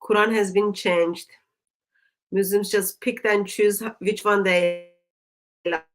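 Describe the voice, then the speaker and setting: A woman with a Turkish accent, a native Turkish speaker, hosting a religious discussion show in a deliberate, emphatic way that leans on repeated phrases. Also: medium pitch, steady tone. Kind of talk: live discussion with evangelistic exhortation.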